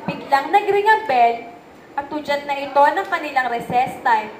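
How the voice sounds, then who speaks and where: A woman's voice: high-pitched, lively intonation, normal speed. A young woman with a Filipino accent reading scripted narration for a story. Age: young adult. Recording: echoey room, faint background noise.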